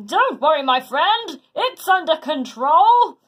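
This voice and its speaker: A woman voicing a boisterous, cheerful, boastful strongman hero from a children's storybook, loud and self-satisfied. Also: high-pitched, sing-song, slow, pitched above the performer's own voice, heavily acted. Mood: breezy, reassuring. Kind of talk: children's storybook read aloud.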